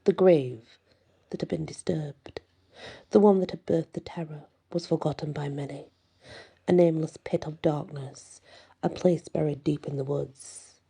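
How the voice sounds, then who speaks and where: A woman reading a horror story in ASMR style, with a slow, dramatic delivery that drops toward whispers. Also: low-pitched, steady tone, gravelly, mellow, husky. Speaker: story narrator.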